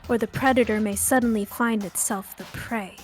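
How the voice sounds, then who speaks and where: A woman voicing a calm, guarded teenage girl with veiled menace, measured and cool, in an amateur game dub. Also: fairly high pitch, soft background music, natural voice. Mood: cool warning.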